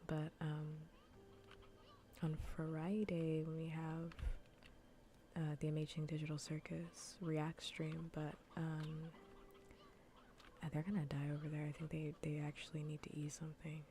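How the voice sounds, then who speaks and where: Soft, breathy, low-pitched woman streamer. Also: monotone, unhurried, long pauses, a little hiss or hum. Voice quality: soft, breathy, often near-whispered. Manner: casual, rambling, repeats phrases. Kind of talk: soft-spoken ASMR gaming stream chat.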